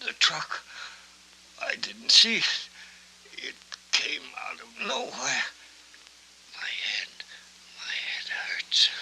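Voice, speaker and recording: An actor playing an injured, frightened old witness in a 1940s film noir, halting and breathy, trailing off as if in pain. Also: male voice, high-pitched, huge pitch swings, sharp tone, in a whisper, heavily acted. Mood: dazed, pained. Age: older. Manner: halting, trailing off, repeating words. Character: frail, dazed, injured old dockside witness, rambling and frightened. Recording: noisy, humming 1940s film soundtrack.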